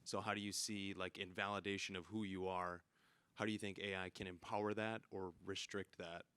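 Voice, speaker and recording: A man's voice: low-pitched, flat delivery, a little fast, sharp tone, clear, resonant tone, no echo. A man in the audience asking the panel a question about identity security. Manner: inquisitive.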